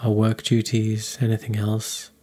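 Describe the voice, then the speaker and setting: A man leading a guided meditation in a calm, unhurried, soothing manner. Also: fairly low pitch.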